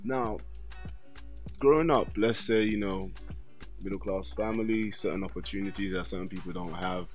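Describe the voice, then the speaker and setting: A man's voice: deep voice, normal speed, soft background music. A man, speaking conversationally as an interview host.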